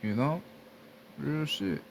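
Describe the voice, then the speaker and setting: A man's voice: mid-range voice, animated, slow, vocal fry, a little hiss or hum, poor audio. A man giving a casual spiritual talk, blunt and repetitive, swearing freely as he urges listeners to wake up. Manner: blunt, repetitive, heavy profanity. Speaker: spiritual teacher. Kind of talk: casual motivational monologue.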